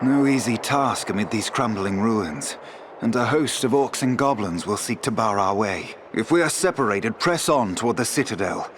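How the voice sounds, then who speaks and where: An actor playing a courteous, resolute elven warrior lord in a fantasy game, speaking in a formal, measured, archaic manner. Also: male voice, on the low side, lively intonation, background noise, lightly acted. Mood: commanding.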